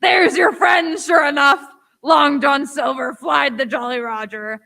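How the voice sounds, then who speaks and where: Woman playing a shrill, creaky half-mad castaway sailor. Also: steady tone, unhurried, sharp tone, no room sound, full character voice. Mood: excited. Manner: rustic pirate speech, exclaiming, repeating himself. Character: half-mad marooned old sailor man, excitable, cheese-craving. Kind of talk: radio play acting.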